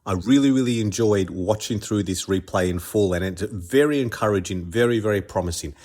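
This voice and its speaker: A man's voice: fairly low pitch, fairly quick, darkish tone. Congested man hosting a podcast. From Australia. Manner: enthusiastic, many restarts and repeated words. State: stuffed up with a cold. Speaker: podcast host. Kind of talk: casual solo podcast commentary.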